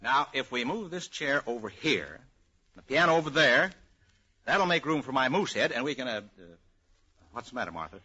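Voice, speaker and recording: An actor playing a blustering, boastful wheeler-dealer, rambling and self-important, in an old radio comedy. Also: male voice, mid-range voice, sing-song, moderate pace, sharp tone, slightly rough, heavily acted. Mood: bustling. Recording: muffled old radio recording.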